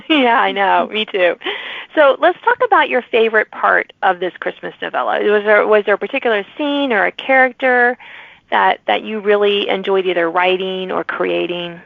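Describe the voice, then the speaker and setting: Woman host for an interview podcast; encouraging, conversational, some vocal fry, tinny dry recording. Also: medium pitch, normal speed, no echo. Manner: encouraging, repeats phrases as she goes. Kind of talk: casual interview chat. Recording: tinny, phone-like, narrow-band sound.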